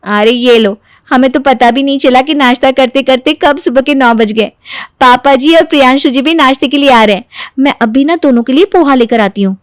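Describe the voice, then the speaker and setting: Woman acting a sly, high-voiced young daughter-in-law. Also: brisk, no room sound, clean studio sound, lightly acted. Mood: sweet, cheerful. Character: sly, playful young daughter-in-law, sweetly feigning innocence. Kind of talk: animated Hindi moral story voice acting.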